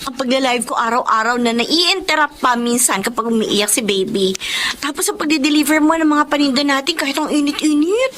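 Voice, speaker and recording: A woman's voice: high voice, a little fast. An actress playing a hardworking, chirpy young mother who sells online, in a radio drama. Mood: delighted. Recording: loud background noise.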